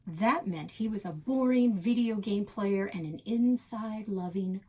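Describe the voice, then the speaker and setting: A woman narrating a children's novel aloud in an expressive storytelling style. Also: medium pitch, measured pace, slightly rough.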